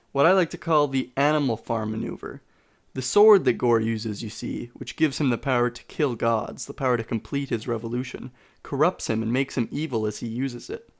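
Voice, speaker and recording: A man's voice: medium pitch, creaky. A man delivering scripted video essay commentary in an informal, sarcastic way.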